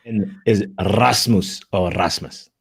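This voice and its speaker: A man co-hosting a casual live fan show, relaxed and quick to laugh, asking his partner questions. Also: on the low side, creaky, slightly rough. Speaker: co-host of a fan call-in show. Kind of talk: casual live-stream chat.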